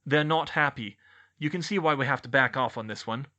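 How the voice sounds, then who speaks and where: A man's voice: medium pitch, a little fast, sharp tone, close to an everyday voice. A man voicing a polite, genial but beleaguered middle-aged government boss with a wry manner, in an audiobook. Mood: wry, exasperated. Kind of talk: audiobook character voice.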